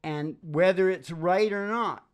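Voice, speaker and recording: A man's voice: sits high, a little slow, smooth. A middle-aged man ranting casually and emphatically, often checking that the listener agrees, speaking at a stand microphone in a small room. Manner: emphatic, excitable, seeking agreement with tag questions. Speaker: live music show host. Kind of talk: opinionated casual rant. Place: small dim wood-panelled room.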